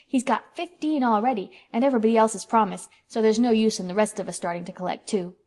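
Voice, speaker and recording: A woman voicing an excitable, chatty little boy who talks eagerly and fast. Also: a little high, natural voice. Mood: excited.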